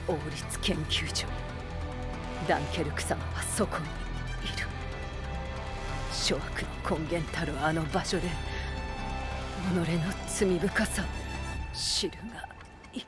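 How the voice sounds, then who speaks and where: Anime game role: stern, zealous female vice-commander. Commanding, formal, bitterly hurt, intense; clear music underneath. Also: low voice, slow, long pauses. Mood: bitter, wounded.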